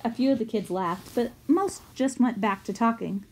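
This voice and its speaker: A woman's voice: on the high side, moderate pace, darkish tone, slight background noise, rough recording. A woman reading a first-person story aloud as scripted narration, in the manner of a book read to listeners.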